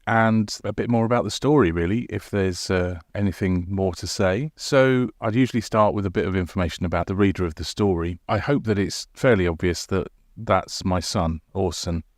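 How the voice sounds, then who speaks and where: A man's voice: low-pitched, normal speed, hardly any pauses, dry recording, studio quality. A man hosting a podcast, speaking to listeners as its writer and presenter. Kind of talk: podcast presenting, credits and informal asides.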